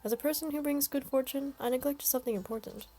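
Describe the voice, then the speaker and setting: A woman's voice: sits high, quick pace, dark tone, faint music behind, pitched above the performer's own voice. A woman reading the part of a formal, polite young man who brings good fortune. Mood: earnest. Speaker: voice reader of a game story.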